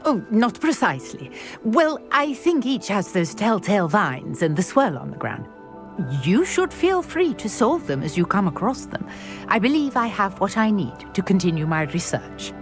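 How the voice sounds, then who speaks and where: A woman's voice: medium pitch, big pitch swings, moderate pace, music in the background. A woman voicing an eager, chatty witch archaeologist in a video game, prone to exclaiming in wonder. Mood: brisk, friendly. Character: excitable scholarly witch, archaeologist obsessed with Merlin.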